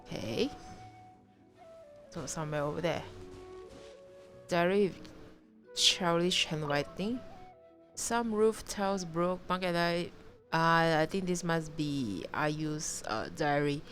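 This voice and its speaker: A woman game streamer giving casual live commentary and reading game text aloud in a halting, reactive way. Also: low-pitched, a bit bright. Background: game music.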